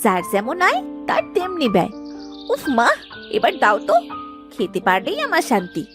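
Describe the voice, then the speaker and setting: Woman doing a greedy young girl, high-pitched, swooping intonation, whiny, petulant, children's cartoon. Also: wildly swooping pitch, moderate pace, music in the background. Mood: eager. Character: greedy, whiny young daughter obsessed with food. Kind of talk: Bengali children's cartoon dubbing.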